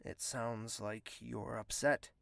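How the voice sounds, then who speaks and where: A man's voice: mid-range voice, steady tone, slow, gravelly, fairly bright tone, dry recording, studio quality. An actor in an audio drama playing an anxious, lovesick nobleman, hesitant and brooding as he talks and narrates. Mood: gentle, dejected. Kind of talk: audio drama dialogue and first-person narration.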